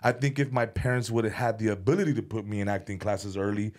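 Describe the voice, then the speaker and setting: A man's voice: fairly low pitch, fast, darkish tone. Rambling, swearing man in casual chat. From United States. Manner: rambling, many repeated words and restarts, heavy swearing. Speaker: comedian and music video director, podcast guest.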